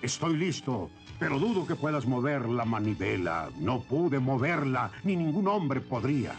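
A man's voice: medium pitch, big pitch swings, unhurried, soft background music. An actor playing a proud, formal ancient warrior king in a Latin American Spanish cartoon dub. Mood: proud, solemn. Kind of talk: animated series dubbing.

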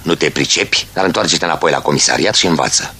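A stern official with a very deep voice. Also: male voice, sharp tone, a little hiss or hum, heavily acted. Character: stern older party official, commanding and ideological. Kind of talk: vintage film acting.

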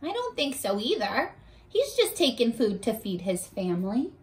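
A young woman leading a read-aloud lesson for children, talking to the camera in an animated, encouraging, child-directed way. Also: a little high, normal speed. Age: young adult. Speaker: children's teacher. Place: bedroom at home, indoors.

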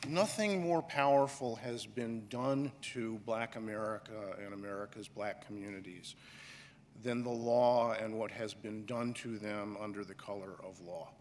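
A middle-aged man delivers a keynote from a podium microphone, measured and emphatic, leaning on pauses. His unhurried delivery rings out through a large hall with a long reverb tail. Faint room ambience sits underneath. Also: fairly low pitch, slight background noise. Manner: slow, deliberate and emphatic, with pauses and gestures. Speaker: conference director giving a keynote. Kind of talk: keynote speech. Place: large auditorium stage, indoors.

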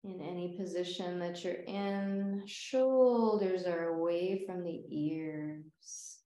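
A woman guides a yoga nidra meditation in a mellow, slightly low voice. She speaks gently and very slowly, leaving long pauses between phrases. The recording has a noticeably roomy echo.

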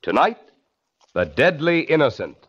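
A man's voice: fairly high pitch, big pitch swings, unhurried, slightly rough. A man announcing in the declamatory style of a 1940s radio sponsor spot. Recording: dull 1940s radio recording.

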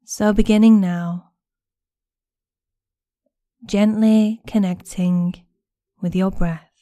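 A woman guiding a meditation in a gentle, soothing, unhurried manner with long pauses between phrases. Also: medium pitch, steady tone, no room sound, clean studio sound. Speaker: guided meditation instructor. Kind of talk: guided body scan meditation narration.

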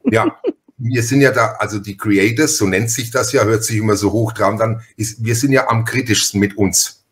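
A man with a fairly low voice chats as a podcast host. He talks casually and wordily, breaking off and repeating words as he circles toward his point. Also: normal speed. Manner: chatty, wordy, self-interrupting with repeated words. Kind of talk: casual interview chat.

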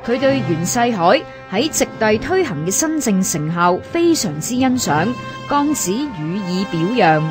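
A woman with a Hong Kong Cantonese accent reads scripted history narration in formal, measured phrasing as a radio narrator. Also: mid-range voice, very animated, music in the background.

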